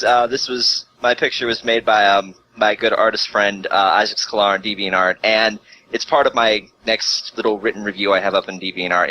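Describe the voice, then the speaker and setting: Male podcast guest, bright forceful voice, animated casual storyteller, faint noise on an amateur call recording. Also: on the low side, low-quality recording. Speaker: guest content creator and reviewer. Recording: hissy amateur online call audio.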